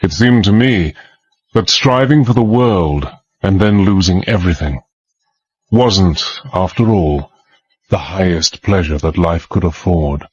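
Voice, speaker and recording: An actor playing a reflective adventurer, giving measured first-person narration in a radio drama. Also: male voice, low-pitched, a little mellow, slightly rough, no echo, dull audio. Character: reflective male adventurer recalling his past.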